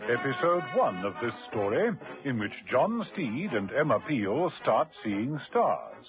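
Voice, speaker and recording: A storyteller narrates a suspense serial on radio with a lively, swooping delivery. His medium-pitched voice sits in a narrow, old broadcast sound over music. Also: measured pace. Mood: measured, wry. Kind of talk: scripted radio thriller narration. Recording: band-limited old radio recording with music.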